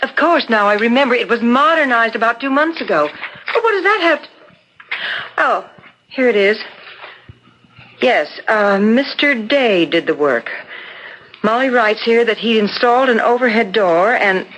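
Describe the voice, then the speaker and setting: A woman's voice: a little high, expressive, moderate pace, long pauses, a bit bright. An actress playing an inquisitive, helpful woman assisting an investigator in a 1970s radio mystery, eagerly questioning. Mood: curious. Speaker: radio actor. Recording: noisy old radio broadcast recording.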